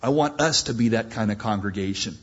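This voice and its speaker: Male pastor, emphatic and repetitive in the pulpit, husky voice, muffled church recording. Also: on the low side, brisk. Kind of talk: sermon.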